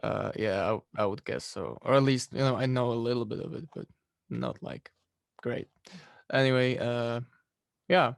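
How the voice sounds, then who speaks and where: Gravelly young man from Poland, relaxed. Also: mid-range voice, normal speed, no room sound, clean studio sound. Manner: relaxed, rambling, many fillers and "like". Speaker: musician guest. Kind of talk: casual interview answers.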